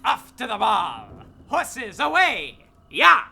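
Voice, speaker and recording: An actor playing a fussy, vain vampire's servant in a comedic audio drama, theatrical and scolding. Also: male voice, extremely high pitch, wildly swooping pitch, slow pace, creaky, pushed and bright, full character voice. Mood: excited.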